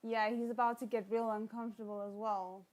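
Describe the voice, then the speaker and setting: A woman giving casual, expressive live reaction commentary as a livestream host. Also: fairly high pitch, brisk, low-quality recording. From South Africa. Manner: expressive, exclaims and giggles while watching.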